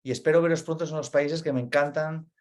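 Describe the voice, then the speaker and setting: A man's voice: a little high, steady tone, fast. Earnest man with a Spain Spanish accent. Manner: earnest, repeats key points, frequent "vale?" check-ins. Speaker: webinar speaker, data center expert. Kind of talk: explanatory webinar talk.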